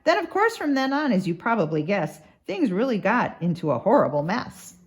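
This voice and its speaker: A woman with a mid-pitched voice narrates a children's storybook. Her delivery is expressive and rhythmic, with wide dramatic swings in intonation. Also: moderate pace. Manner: rhythmic, dramatic read-aloud. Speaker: doctor reading a children's book.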